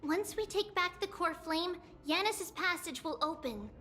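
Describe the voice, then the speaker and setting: A woman's voice: extremely high pitch, animated, unhurried, bright, forceful tone, soft background music, exaggerated voice. A woman playing a girlish companion character in a video game. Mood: hopeful.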